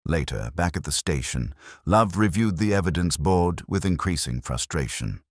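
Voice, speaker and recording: A man narrating a mystery story in a measured, wryly understated way. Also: sits low, lively intonation, dry recording, clean studio sound. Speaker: audiobook narrator.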